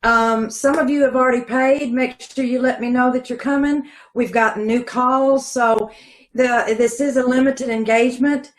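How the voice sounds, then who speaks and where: A woman co-producer making brisk, practical announcements over a video call from home. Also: high-pitched, steady tone, echoey room. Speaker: theater co-producer.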